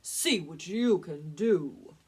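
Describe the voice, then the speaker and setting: A big, bold billy goat from a children's storybook, played by a woman. He is loud and husky, booming out slow, defiant challenges without any fear. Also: animated, exaggerated voice. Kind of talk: storybook read-aloud character voice.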